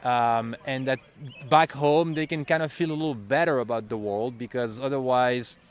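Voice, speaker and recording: A man from Belgium with a Belgian French accent, a TV correspondent chatting in an interview with quick bursts and self-corrections. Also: medium pitch, clean tone. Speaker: national TV correspondent. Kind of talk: casual interview answers. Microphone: handheld interviewer mic.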